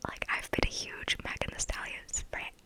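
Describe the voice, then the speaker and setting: A young woman from Texas talks in a steady, airy whisper, as in an ASMR video. Her chat rambles casually and is peppered with "like" and "you know what I mean.". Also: moderate pace. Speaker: ASMR creator.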